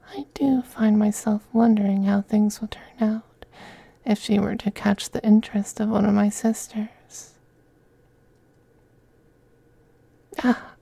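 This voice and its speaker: A man playing a possessive, teasing femboy vampire in an intimate ASMR roleplay, speaking softly and breathily, often in a whisper, with coaxing, condescending tenderness. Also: high voice, gravelly, slightly rough, dry recording, full character voice. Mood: veiled threat.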